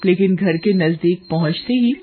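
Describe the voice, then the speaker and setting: A woman narrating a cartoon story in a measured storytelling manner. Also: lowish voice. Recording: dull lo-fi audio, faint music.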